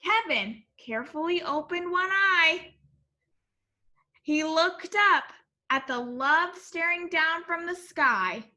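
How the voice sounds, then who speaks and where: A woman reading a picture book aloud in an animated, expressive way, as if to young children. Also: very high voice, takes it slowly. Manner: expressive, addressing young listeners.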